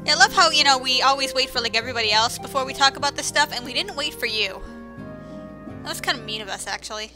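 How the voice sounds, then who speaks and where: A woman's voice: sits high, quick pace, over music. A woman giving casual, sarcastic and exclamatory commentary over a video game, speaking informally with some swearing. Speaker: gameplay commentator.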